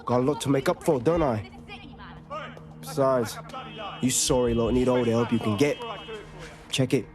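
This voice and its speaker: Actor as cheeky London rogue over music. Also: male voice, mid-range voice, moderate pace, lightly acted. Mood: cocky, wry. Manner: cheeky, breezy, slangy. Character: cocky, streetwise male London rogue ally. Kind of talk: casual video game cutscene banter.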